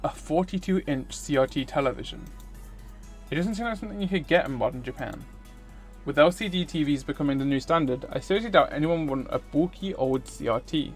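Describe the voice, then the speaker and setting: Male game streamer, slightly high voice, scripted first-person narration with faint music behind. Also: expressive, brisk. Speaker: streamer reading a visual novel aloud. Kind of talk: scripted narration read aloud.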